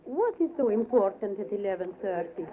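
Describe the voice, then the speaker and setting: A woman's voice: medium pitch, expressive, normal speed, lightly acted. An actress playing a solemn blind woman mentalist with formal, theatrical 1940s radio delivery. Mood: anxious, pleading. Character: blind female stage mentalist, solemn, soft, uneasy. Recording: muffled, noisy old radio broadcast.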